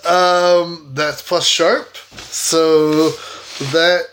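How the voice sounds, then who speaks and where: A man's voice: fairly high pitch, level tone, extremely slow, fairly bright tone, slight background noise. A man chatting casually as a player in a tabletop role-playing game.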